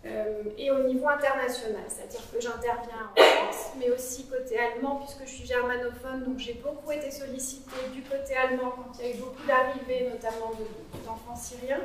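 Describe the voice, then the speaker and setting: High-voiced woman psychologist chatting with a small group, lots of euhs, mic off to the side so it sounds far and super echoey. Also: level tone, fairly quick, few pauses, slight background noise. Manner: conversational, interactive, frequent "euh" fillers. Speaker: psychologist giving a talk. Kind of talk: interactive conference talk. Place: cool meeting room with a small audience. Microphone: speaking away from the microphone. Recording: very echoey room, voice from afar.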